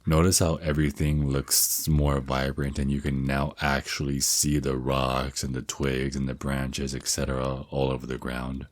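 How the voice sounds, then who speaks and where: A man's voice: rumbling low, completely flat delivery, moderate pace, few pauses, no echo. A man voicing an affectionate, protective vampire naga boyfriend in an intimate ASMR roleplay, mentoring his partner with slightly formal wording and small stammers. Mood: instructive, slightly flustered. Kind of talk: ASMR roleplay, intimate one-sided scripted dialogue.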